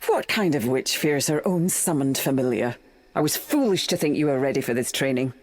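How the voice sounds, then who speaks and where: A woman's voice: rumbling low, expressive, fairly quick. A woman voicing a stern middle-aged witch mentor, formal and imperious, in a video game. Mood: cold disapproval. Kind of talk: dramatic video game acting. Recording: music behind the voice.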